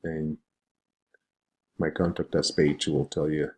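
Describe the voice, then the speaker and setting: Deep-voiced, hesitant man from Venezuela. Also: flat delivery, dark tone, husky. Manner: hesitant, halting, unscripted. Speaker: web design student presenting a project. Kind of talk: informal screen-share presentation.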